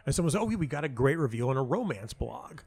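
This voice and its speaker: A man with a medium-pitched voice speaks as a guest in a casual interview. He tells anecdotes with emphasis, acting out bits of dialogue and circling back over phrases to stress them. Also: very animated, fast, creaky, a little mellow, breathy edge. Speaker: interview guest, playwright and audio dramatist.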